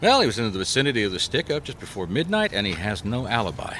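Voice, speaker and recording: An actor playing a dogged, skeptical private detective in a 1950s radio drama, wry and colloquial. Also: male voice, on the low side, sing-song, quick pace, a bit bright, slight background noise. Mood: suspicious. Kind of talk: radio detective drama acting, with first-person narration.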